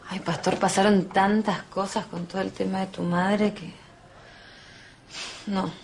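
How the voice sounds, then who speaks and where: A woman's voice: mid-range voice, little pitch movement, unhurried, vocal fry, a little mellow, a little hiss or hum. An actress playing a caring, direct young woman in a telenovela, speaking with an Argentine accent. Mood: earnest. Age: young adult. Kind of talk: telenovela acting. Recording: music playing under the dialogue.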